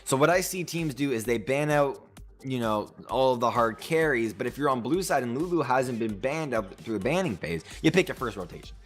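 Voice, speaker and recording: A young man casting an esports match as color commentator, explaining strategy in a lively, animated way. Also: medium pitch, a little fast, soft background music. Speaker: color caster.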